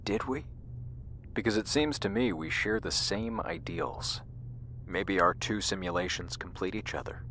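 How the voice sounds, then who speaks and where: An actor playing an embittered, world-weary scientist in an audio drama, intense and confiding in manner. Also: male voice, on the low side, lively intonation, unhurried, creaky, pushed and bright, slight background noise, heavily acted. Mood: reflective. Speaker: actor in fiction podcast. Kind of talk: audio drama dialogue and narration.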